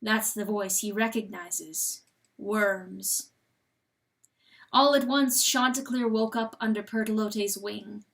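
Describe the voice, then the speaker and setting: A woman giving a dramatic, intense and deliberate storybook narration. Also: a little high. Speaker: audiobook narrator.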